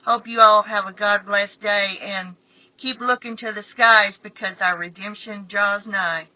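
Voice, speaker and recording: A middle-aged woman with a slightly raspy voice, telling a personal story in a halting way, repeating phrases for emphasis. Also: mid-range voice, fairly even intonation, no echo. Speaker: channel host. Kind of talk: personal storytelling, recounting a prophetic dream. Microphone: phone. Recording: dull lo-fi phone recording.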